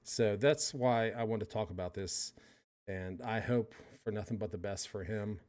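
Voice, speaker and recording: A man's voice: fairly low pitch, normal speed, a little mellow. A middle-aged man hosting a stream, talking casually and rambling, with frequent restarts. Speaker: stream host reacting to a podcast. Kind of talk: casual personal commentary.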